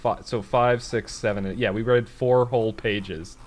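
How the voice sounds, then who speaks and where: A man's voice: lowish voice, steady tone, moderate pace, darkish tone, a little hiss or hum. A man hosting a podcast in casual, informal chat with a jokey manner. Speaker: podcast host.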